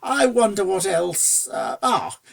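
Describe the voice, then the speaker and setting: A reader voicing a suave upper-class gentleman stallion in a storybook, with a posh, drawling, breezy manner and old-fashioned phrasing. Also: mid-range voice, big pitch swings, takes it slowly, gravelly, voice pushed up, full character voice. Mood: musing, genial. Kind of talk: storybook character dialogue.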